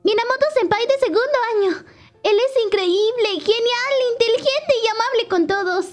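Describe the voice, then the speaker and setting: A woman voicing an eager, excitable first-year high school girl in a fan dub. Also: moderate pace. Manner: eager, squealing. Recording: faint anime music behind.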